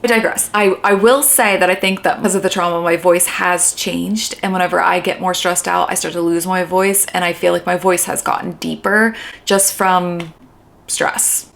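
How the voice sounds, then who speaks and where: A woman therapist chatting casually in a rambling way, with a noticeable vocal fry in her voice. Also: lowish voice, flat delivery, normal speed, a bit bright. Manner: chatty and rambling, sometimes dropping to a whisper. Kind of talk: casual reaction commentary.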